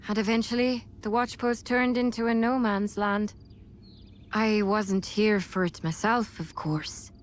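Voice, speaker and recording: A woman's voice: medium pitch, fairly even intonation, unhurried, close to an everyday voice. A woman playing a seasoned, easygoing female mercenary in a fantasy video game cutscene. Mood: grave, pensive. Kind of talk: English game cutscene voice acting. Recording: music behind the voice.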